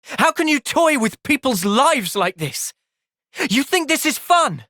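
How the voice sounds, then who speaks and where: A young man playing an earnest, thoughtful young soldier hero in a fantasy video game's cutscenes, with expressive delivery. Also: extremely high pitch, a little slow, no echo, clean studio sound, exaggerated voice. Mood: urgent, distressed. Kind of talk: video game cutscene acting.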